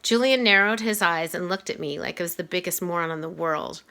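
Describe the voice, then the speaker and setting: A woman reading a children's novel aloud in expressive first-person narration, shifting delivery for dialogue and asides. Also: fairly low pitch, fairly quick, vocal fry, smooth.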